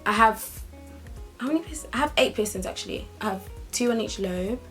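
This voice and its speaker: A woman's voice: a little high, a little fast, soft background music. A young woman, talking casually and upbeat to her camera in a vlog. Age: young adult. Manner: chatty, lively and upbeat. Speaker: vlogger.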